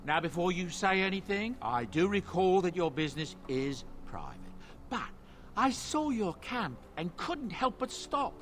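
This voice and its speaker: A man's voice: high-pitched, a bit bright, slight background noise, heavily acted. An actor playing a genial, ingratiating middle-aged dwarf merchant in a fantasy game, with polite, chatty salesman's patter. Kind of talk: fantasy game character acting.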